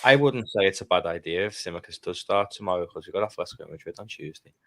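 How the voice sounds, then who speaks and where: A man's voice: low-pitched, fast, pushed and bright, no echo. Blunt, opinionated man. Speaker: podcast panelist. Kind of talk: casual football chat.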